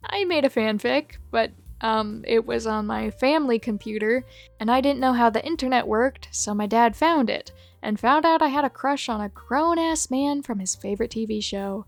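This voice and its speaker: A woman hosting a video who reads out short fan confessions one after another in a brisk, matter-of-fact way. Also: a little high, faint music behind. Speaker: host reading viewer submissions. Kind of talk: reading aloud a list of fan confessions.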